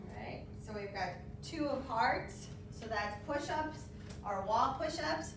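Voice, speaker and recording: A woman demonstrating exercises, giving clear, practical coaching cues. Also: fairly high pitch, lively intonation, a little slow. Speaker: fitness instructor. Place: large bare indoor room. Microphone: far camera. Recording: echoey room, distant, some noise.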